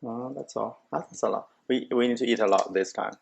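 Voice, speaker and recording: Halting gravelly-voiced male host. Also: medium pitch, steady tone, slight background noise. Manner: halting, restarts often. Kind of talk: casual chat over a meal. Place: restaurant table.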